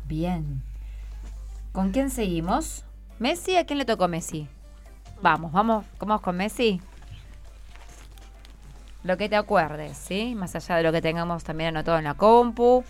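A woman's voice: lowish voice, animated, measured pace, pauses often. Buenos Aires woman hosting radio over music. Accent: Buenos Aires Spanish. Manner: prompting, encouraging, with short questions and acknowledgements. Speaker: radio host interviewing schoolchildren. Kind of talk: conversational radio interview. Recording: music playing under the voice. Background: background music.

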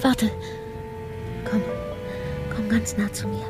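An actress playing a mysterious, fearful and morbidly seductive lover in a radio drama, with a breathy, hushed voice that often drops to a whisper. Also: female voice, mid-range voice, little pitch movement, close to an everyday voice. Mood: seductive, sinister. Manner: slow, hushed, intimate. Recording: loud music under the voice.

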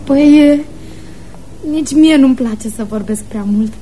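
A woman's voice: high voice, mellow, a little hiss or hum, close to an everyday voice. An actress playing a playful, frank, impulsive schoolgirl of almost eighteen, in love, in a girlish, teasing radio theatre style.

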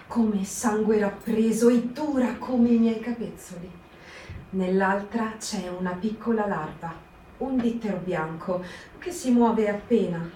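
A woman performing a poetic reading in a slow, sensual, low-voiced way. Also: a little hiss or hum. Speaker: actor in a staged reading. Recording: roomy radio studio, from a distance.

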